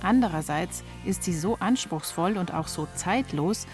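Female feature narrator, lowish voice, scripted first-person delivery, faint music bed. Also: animated, a little slow.

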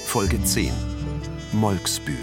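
Slow, low male announcer over loud music. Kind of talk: scripted radio drama credits. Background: loud guitar and harmonica music.

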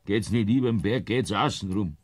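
An actor playing a stubborn, grieving old Bavarian mountain farmer in a classic radio play, speaking slowly and wearily with a husky voice. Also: male voice, close to an everyday voice. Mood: consoling. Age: older. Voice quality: husky, deep. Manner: slow, weary, consoling.

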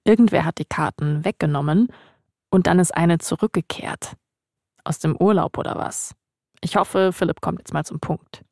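A woman's voice: fairly low pitch, moderate pace, gravelly, dark tone, no echo, studio quality. A woman hosting a podcast, both narrating and interviewing. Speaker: host and narrator.

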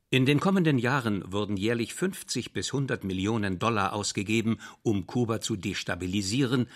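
A man reading a history documentary script in a measured, even way. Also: lowish voice. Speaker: documentary narrator.

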